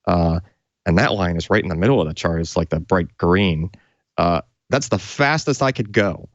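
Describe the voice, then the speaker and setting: A man's voice: low voice, normal speed, dry recording, highs cut off. A man co-hosting a tech show, explaining technical detail off the cuff in an enthusiastic, conversational way.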